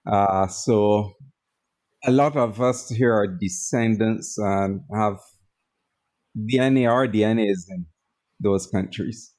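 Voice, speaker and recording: Barbadian man, unhurried livestream co-host, easygoing and quick to laugh. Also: fairly low pitch, darkish tone. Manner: easygoing, laughs often, reads out viewer comments. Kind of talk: casual livestream chat.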